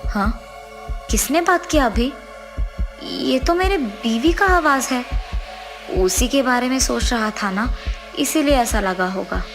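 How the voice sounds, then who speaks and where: A woman's voice: on the high side, expressive, measured pace, long pauses, over music. A woman voicing a grieving, easily frightened husband who is master of the house in a cartoon story. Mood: sad, musing.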